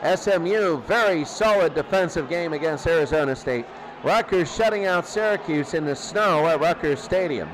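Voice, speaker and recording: A man giving informal, excitable play-by-play football commentary in short, repeated phrases. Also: moderate pace. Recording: noisy, steady background rumble.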